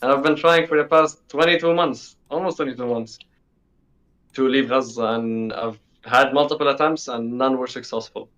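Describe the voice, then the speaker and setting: A man's voice: medium pitch, normal speed, a bit bright. Teenage male, from the UAE, casual interview guest, informal, emphatic repetition, muffled band-limited sound. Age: teens, sixteen. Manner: informal, repeats himself for emphasis.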